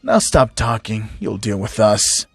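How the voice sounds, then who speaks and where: A man's voice: lowish voice, very animated, a little slow, creaky, fairly bright tone, breathy edge, no room sound, faint music behind, pitched below the performer's own voice, full character voice. A man voicing a smug army general who makes threats with mock politeness. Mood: smug menace.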